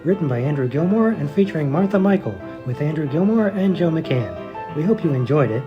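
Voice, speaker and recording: A woman's voice: sits low, expressive, brisk, lo-fi sound, over music. A woman announcer reading scripted comic credits.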